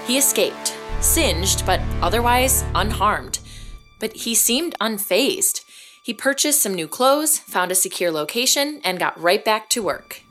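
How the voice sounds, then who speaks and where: A woman narrating an audio drama in an even, measured way. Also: mid-range voice, faint music behind.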